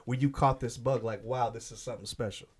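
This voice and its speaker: A man hosting a casual music interview, energetic and full of slang. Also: medium pitch, quick pace, breathy edge. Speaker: interview host.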